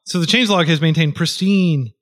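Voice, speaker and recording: A man with a somewhat high-pitched voice talks freely as a podcast guest. He rambles and restarts often, stringing thoughts together with fillers. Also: fairly quick, fairly bright tone. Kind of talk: casual podcast conversation.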